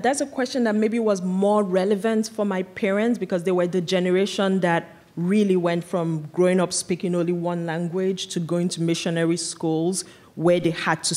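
A woman's voice: on the low side, darkish tone. A woman in her thirties from Nigeria, a guest artist explaining her practice thoughtfully in an onstage conversation, with frequent "like" and "um". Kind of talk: onstage conversation before an audience. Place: large auditorium stage. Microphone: stand microphone.

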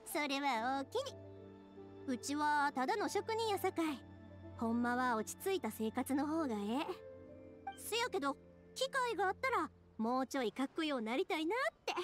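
A cheery young Kansai woman in an anime game, high and very animated. She is a warm-hearted, bubbly craftswoman, with music underneath. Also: normal speed. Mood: excited, bashful. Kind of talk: Japanese anime game voice acting.

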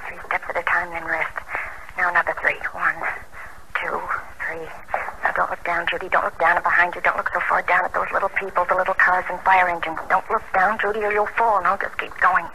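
An actor playing a fearful voice counting steps and warning against a fall in an old-time radio drama. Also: male voice, high-pitched, level tone, fairly quick. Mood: tense warning. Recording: very noisy vintage radio recording.

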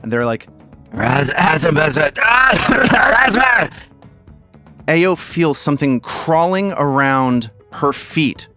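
A man narrating a tabletop game as game master, with measured, dramatic delivery and dry humor. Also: fairly high pitch, sing-song, pushed and bright, faint music behind.

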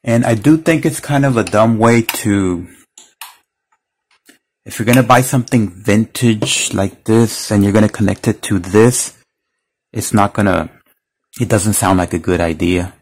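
A man with a fairly low voice talks through a casual product demo. He explains each step plainly, as a reviewer showing a gadget by hand. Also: darkish tone. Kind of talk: casual hands-on product demonstration. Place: indoors at a wooden table.

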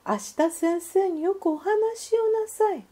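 A woman as a gentle, slow-spoken mother duck. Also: extremely high pitch, pitched above the performer's own voice. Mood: soothing. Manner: soothing, polite, unhurried. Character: gentle, caring mother duck soothing her child. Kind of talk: children's storybook reading.

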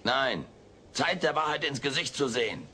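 Cheeky furry alien, vain and sarcastic, sitcom audio drama, faintly noisy tape. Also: male voice, mid-range voice, expressive, moderate pace, sharp tone. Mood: cheeky, theatrical. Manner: sarcastic, mock-pompous. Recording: faint noise, old cassette audio drama.

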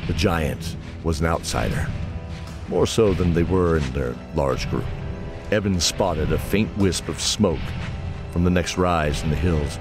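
A man narrating a scripted fantasy audio drama. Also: rumbling low. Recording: voice over prominent music.

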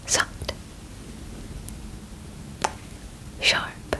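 A young woman in her twenties playing a calm, clinical female alien examiner in a whispered ASMR roleplay, giving deliberate, formal instructions close to the microphone. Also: poor audio. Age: 20s. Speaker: ASMR performer. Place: bedroom, indoors.

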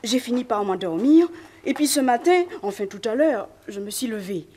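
A plain, fretful housemaid with a high voice, radio play. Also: female voice, lively intonation, normal speed, mellow, slightly rough. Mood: telling. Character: simple pious female housemaid, fretful. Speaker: servant witness. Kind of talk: 1950s radio drama acting.

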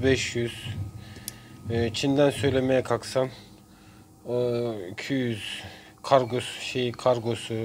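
A man giving a casual hands-on tutorial in short phrases with frequent pauses. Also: medium pitch, darkish tone. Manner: halting, pausing between short phrases. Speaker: DIY video maker. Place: indoors at a workbench. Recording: clear background noise, room ambience.

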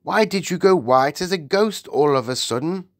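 A woman's voice: sits low, animated, moderate pace, no echo, clean studio sound, voice pushed up. A woman voicing an imperious, well-bred Queen in an audiobook, with grand, emphatic, exclamatory delivery. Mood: sharp, insistent.

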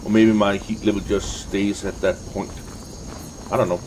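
A man's voice: lowish voice, level tone, moderate pace. A man giving casual gameplay commentary, thinking aloud in hesitant mutters. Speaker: let's play host. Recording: constant background noise and game ambience.

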